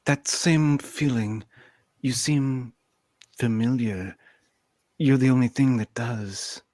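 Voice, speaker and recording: A man's voice: medium pitch, slow, a little mellow, no echo, natural voice. A man playing a troubled, thoughtful female starship counselor in an amateur sci-fi radio play, speaking hesitantly. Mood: uneasy, tender.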